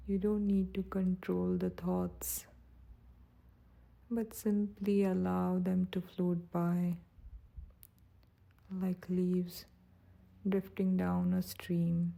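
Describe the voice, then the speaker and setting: A woman guiding a meditation in a soft, breathy, soothing and gentle voice, speaking without hurry. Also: on the low side, level tone, extremely slow, pauses often. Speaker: meditation guide. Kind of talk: guided meditation narration.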